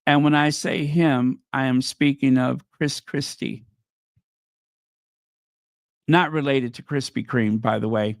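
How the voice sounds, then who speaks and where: Slow gravelly man going hoarse. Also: medium pitch, steady tone, no echo, studio quality. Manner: sarcastic, mocking asides. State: losing his voice. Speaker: livestream host. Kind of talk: casual livestream chat.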